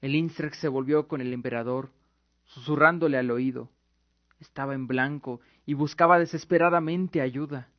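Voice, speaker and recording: A man's voice: mid-range voice, moderate pace, dry recording. A man giving dramatic scripted narration as the narrator of an audio drama. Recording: dull lo-fi recording with music. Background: music.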